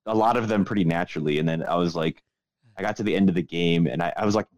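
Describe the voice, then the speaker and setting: Very low-voiced man chatting casually. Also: fast, darkish tone, no echo, highs cut off. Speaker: podcast host. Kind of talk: casual chat, profane.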